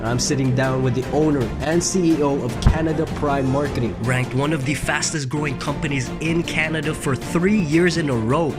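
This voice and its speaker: Male podcast host over clear music. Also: on the high side, steady tone, moderate pace, hardly any pauses. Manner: friendly, hesitant questioning with fillers. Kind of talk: scripted intro and interview questions.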